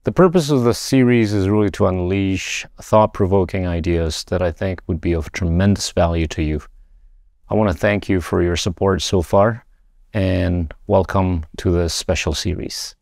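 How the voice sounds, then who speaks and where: A man's voice: sits low, moderate pace, creaky, a little mellow, dry recording, clean studio sound. A man hosting a show, delivering a formal scripted introduction to his audience. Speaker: show host.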